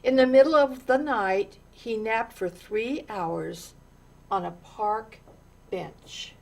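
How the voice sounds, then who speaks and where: Slow, higher-pitched woman reading a children's story. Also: gravelly. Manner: expressive, deliberate, with dramatic pauses and stresses. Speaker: storytime reader. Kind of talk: read-aloud children's story narration.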